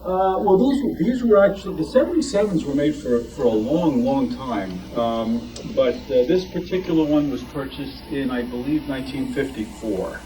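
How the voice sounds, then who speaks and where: A man giving an informal show-and-tell talk as a club member, with a halting, rambling delivery. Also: medium pitch, lively intonation, mellow, recorded from a distance. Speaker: club member presenting vintage items. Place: club meeting room. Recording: noisy room, picked up from afar.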